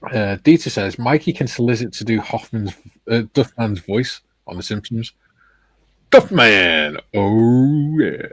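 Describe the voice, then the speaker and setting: A man's voice: mid-range voice, lively intonation, unhurried, no echo. A man hosting a live stream, casually reading out chat messages with chuckles and jokes. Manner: chuckling, jokey.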